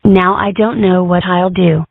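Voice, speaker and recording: A woman's voice: on the low side, fairly even intonation, measured pace, no echo. A woman voicing a precocious, argumentative, mischievous young schoolgirl in a comic strip dub, talking in a wordy, theatrical way. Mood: earnest. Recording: muffled lo-fi phone-like home recording.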